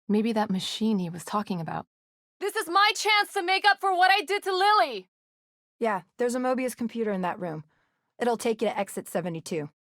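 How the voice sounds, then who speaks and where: A woman's voice: medium pitch, fairly bright tone, no room sound, lightly acted. Woman psychologist for a horror game, played by an actress: composed, earnest, clinically precise, very dry studio sound. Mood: urgent, shouting, then matter-of-fact. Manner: precise, measured, formal wording. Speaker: video game voice actor. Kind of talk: scripted game dialogue acting.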